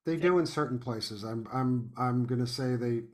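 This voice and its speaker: An American man chatting as an interview guest, thoughtful and halting, often repeating words as he goes. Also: medium pitch. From United States. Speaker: guest playwright and podcast host. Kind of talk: casual interview chat.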